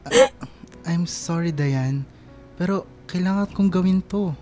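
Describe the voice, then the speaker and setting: A woman's voice: fairly low pitch, takes it slowly, warm tone, faint music behind. A woman playing an easygoing, affectionate young partner, playful and tender in a radio drama. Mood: tender, apologetic.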